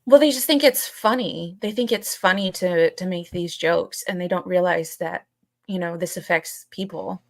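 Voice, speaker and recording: A woman co-hosting a casual podcast, talking hesitantly with halting phrases and frequent pauses. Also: fairly low pitch, no room sound. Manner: hesitant, halting, many pauses and "you know". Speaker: podcast co-host.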